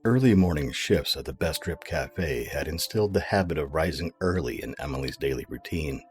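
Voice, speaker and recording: A man's voice: rumbling low, expressive, moderate pace, soft background music. A man narrating a scripted audio drama as its storyteller.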